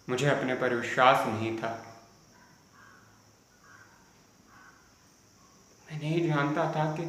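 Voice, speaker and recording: A man's voice: medium pitch, close to an everyday voice. Guy doing a sad brooding poet, stage monologue, solemn and formal, super echoey room, bit of insect hiss. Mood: regretful, reflective. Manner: solemn, deliberate, formal literary diction with dramatic pauses. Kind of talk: Hindi stage drama monologue, audition performance.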